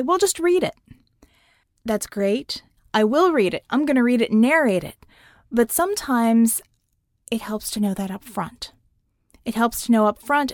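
A woman who works as a narrator, giving instructional advice in a deliberate, emphatic, articulate way on a close mic. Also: on the high side, darkish tone, dry recording. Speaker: audiobook narrator. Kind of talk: instructional talk to listeners.

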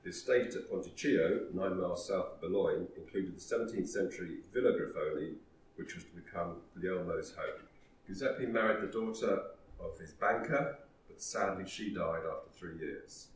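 A man giving a historical talk, telling the story in a measured way. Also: low-pitched, slight background noise. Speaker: lecturer. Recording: roomy echo, distant mic.

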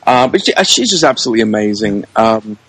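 A man's voice: on the low side, sing-song, quick pace, a bit bright, slightly rough, no room sound. A man speaking as a guest in a casual podcast interview, effusive and often repeating words as he gathers his phrasing. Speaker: interview guest, producer, director and actor.

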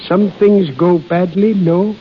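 Kindly old landlord, higher-pitched voice. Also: male voice, fairly even intonation. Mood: kindly, coaxing. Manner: gentle, folksy, foreign-styled halting phrasing. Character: kindly, fussing older Swiss landlord, humble and plain-spoken. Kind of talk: 1930s radio drama acting. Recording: muffled, hissy 1930s radio recording.

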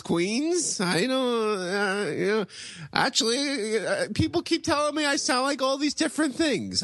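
A man's voice: high voice, vocal fry, fairly bright tone, no room sound, heavily acted. A man voicing a boorish, hard-drinking grown male bully with a brash, swaggering drawl in a podcast comedy bit. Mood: boastful.

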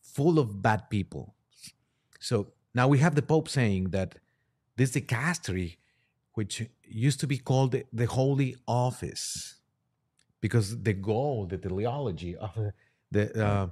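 A man speaking as a priest and podcast guest, halting as he discusses religion and poses questions. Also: fairly low pitch, darkish tone, slightly rough.

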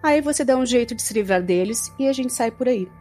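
An actress with a Brazilian accent playing a chatty, affectionate young girlfriend in an informal, expressive audio drama. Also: a little high, brisk. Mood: playful, affectionate. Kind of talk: audio drama acting, diary-like monologue. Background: music.

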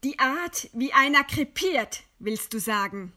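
A woman's voice: high voice, animated, unhurried, pushed and bright, full character voice. An actress playing a stern, contemptuous lady in a radio play, questioning in a curt, demanding manner. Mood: cool, challenging.

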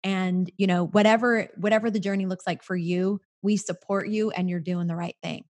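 A woman in her forties, a podcast guest, talking earnestly in casual faith-based chat, often in quick bursts. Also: medium pitch, fairly even intonation, darkish tone. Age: 40s.

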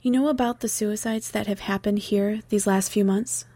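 A woman's voice: mid-range voice, steady tone, moderate pace, warm tone, no echo, studio quality, lightly acted. A woman voicing a guarded, troubled young telepath in an audiobook, speaking tersely and earnestly. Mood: somber, confiding. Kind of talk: audiobook character dialogue.

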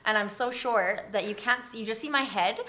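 A woman's voice: on the high side, a little fast, bright, forceful tone, poor audio. A woman chatting casually as an interview guest, informal and self-deprecating, often saying like. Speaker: interview guest, community project founder. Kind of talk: casual chat.

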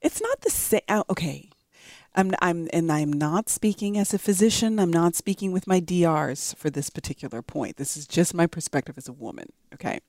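A woman's voice: on the low side, normal speed, no room sound, studio quality. A woman in her forties, a physician co-hosting a podcast, speaking candidly and expressively in casual conversation. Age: 40s. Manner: candid, expressive, laughs often.

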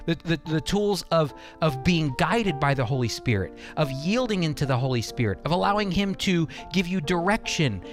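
A man hosting a devotional radio program, teaching scripture earnestly with emphatic phrasing and rhetorical questions. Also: a little high. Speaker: radio Bible teacher and host.

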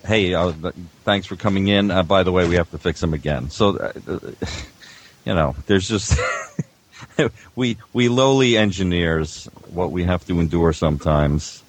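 A middle-aged or older man, an audio engineer guest, casually telling stories in a wry, halting way. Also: low-pitched, slight background noise.

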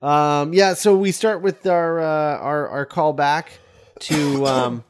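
A man hosting a podcast, talking casually about film in a halting, hesitant way. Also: a little high, steady tone, clear, resonant tone. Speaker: podcast host.

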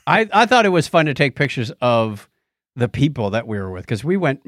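A man's voice: mid-range voice, big pitch swings, fairly quick, a bit bright, dry recording, clean studio sound. A middle-aged man with an American accent hosting a podcast, telling anecdotes in a casual, chatty way. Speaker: podcast host.

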